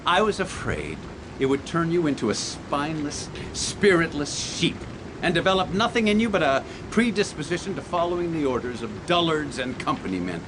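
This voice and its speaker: A pompous, animated inventor father. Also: a little high, moderate pace, noisy background. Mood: scornful. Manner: grandiose, blunt, theatrically condescending. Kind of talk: comic radio theatre acting.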